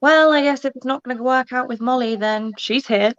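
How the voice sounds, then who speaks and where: Higher-voiced woman on dull, lo-fi audio. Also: fairly quick, no room sound. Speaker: guest in book discussion. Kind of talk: casual book chat on a livestream.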